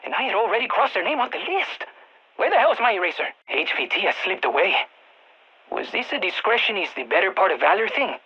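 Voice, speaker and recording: A woman voicing an eager, geeky field scientist in a video game, with a Latin American Spanish accent, talking over radio comms in an expressive, quirky way. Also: medium pitch, quick pace, slightly rough, muffled recording, exaggerated voice. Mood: disappointed. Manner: expressive, quirky, nerdy enthusiasm. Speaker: mission guide scientist.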